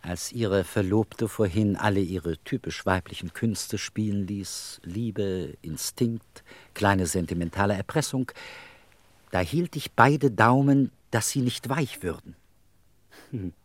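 A man's voice: fairly low pitch, takes it slowly, no room sound. An actor in a radio play voicing an eccentric middle-aged beggar with bourgeois airs, telling his story in a wry, theatrical, rambling way. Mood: wry, self-satisfied.

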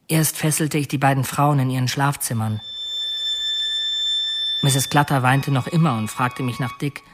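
A man acting a bitter, oddly soft-hearted murderer in a radio play, telling his story in a matter-of-fact way at a middling pitch. He halts and picks up again as he recalls each detail. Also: fairly even intonation, normal speed, faint music behind, natural voice. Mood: matter-of-fact, reflective. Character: confessing male killer, bitter, brooding, oddly soft-hearted. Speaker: actor narrating as a murderer. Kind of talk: radio drama confession monologue.

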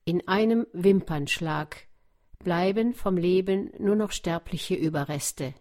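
A woman's voice: on the low side, takes it slowly, darkish tone. A woman reading a scripted documentary narration.

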